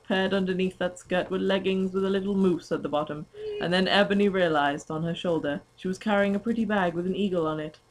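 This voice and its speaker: A young woman giving a comic, mock-dramatic amateur reading of fan fiction, prone to giggling. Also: on the low side.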